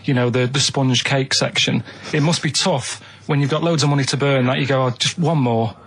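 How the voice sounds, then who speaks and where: A man's voice: mid-range voice, fairly even intonation, a little fast, fairly bright tone, a little hiss or hum. A man as a radio show sidekick, rambling earnestly in casual chat and checking in after each point. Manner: earnest, rambling, tags phrases with 'right'. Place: radio studio.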